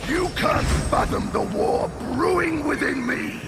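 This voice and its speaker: An actor playing a furious, vengeful chained war god in a video game, declaiming with grandiose theatrical bombast. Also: male voice, extremely high pitch, expressive, measured pace, vocal fry, full character voice. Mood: raging. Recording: loud game music behind.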